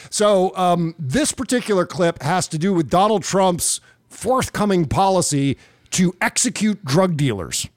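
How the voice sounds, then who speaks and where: A man with a fairly high voice hosts a casual political comedy podcast in a close, dry recording. His delivery is animated and mocking, swinging widely in pitch and peppered with swearing. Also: dry recording. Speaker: podcast host.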